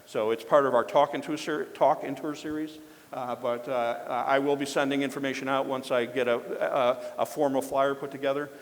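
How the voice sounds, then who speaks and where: A man with a mid-pitched voice addresses a public forum. He talks haltingly and breaks often with fillers and restarts. His voice carries a long hall reverb. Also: steady tone. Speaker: forum presenter and moderator. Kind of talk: public presentation.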